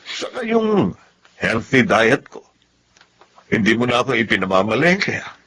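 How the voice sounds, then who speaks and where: A man's voice: mid-range voice, big pitch swings, unhurried, fairly bright tone, slight background noise. An actor playing a stern, hurt, ailing father in a Tagalog radio drama, speaking in formal, reproachful phrases. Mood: tender, plaintive. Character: aggrieved, stern ailing father of grown children.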